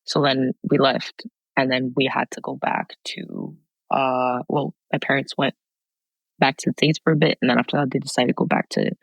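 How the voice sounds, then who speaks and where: A woman's voice: rumbling low, level tone, normal speed, gravelly, dry recording, highs cut off. A young woman from Haiti and Canada, a podcast guest, talking about her background in a casual, rambling way. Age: young adult. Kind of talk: casual interview talk.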